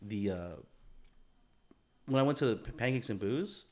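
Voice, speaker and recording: A man's voice: medium pitch, normal speed, a little mellow. Male podcast co-host, mid-range voice, loose rambling delivery, slangy and conversational. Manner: rambling, slangy with frequent "bro" and "man". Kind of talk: casual podcast chat.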